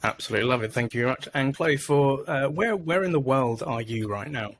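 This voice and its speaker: A man's voice: medium pitch, animated, a little fast, fairly bright tone, a little hiss or hum. A man hosting a podcast over a video call, upbeat and chatty, with lots of fillers. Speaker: podcast host. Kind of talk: casual video-call interview.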